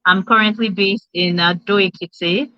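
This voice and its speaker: A woman's voice: medium pitch, fairly even intonation, normal speed, no echo. A young Nigerian woman with a Nigerian accent, speaking earnestly as a dentist guest in an interview, with many ums and restarts. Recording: dull lo-fi dry sound.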